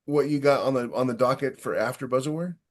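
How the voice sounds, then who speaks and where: Mid-pitched man, blunt informal interviewer. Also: fast talker. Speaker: podcast host, author. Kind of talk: casual interview chat.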